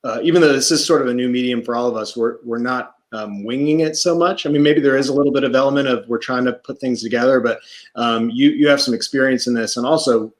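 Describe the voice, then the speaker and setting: A man's voice: mid-range voice, fast. A man interviews an instructor for a comedy theater over a video call, rambling in a chatty way and joking as he goes. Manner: chatty, rambling, keeps making jokes. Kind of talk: casual interview.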